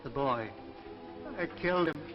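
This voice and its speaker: Male actor as tormented guilty man, slightly high voice, halting and theatrical, dull vintage TV soundtrack, faint music. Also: close to an everyday voice. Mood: distraught, pleading. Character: anguished, guilt-ridden man burdened by a terrible power. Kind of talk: 1950s television drama acting.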